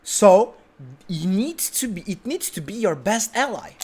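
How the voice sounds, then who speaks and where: A man's voice: high voice, sing-song, a little slow, a little mellow, a little hiss or hum. A young man giving an emphatic motivational talk, repeating his points and often asking "right?". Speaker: motivational speaker. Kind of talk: motivational monologue.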